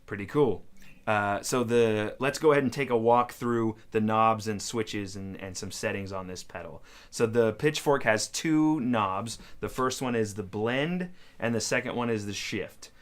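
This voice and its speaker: A man's voice: lowish voice, a little slow. A man hosting a gear demo, explaining things in an informal, conversational way as a casual tutorial. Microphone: studio microphone into audio interface.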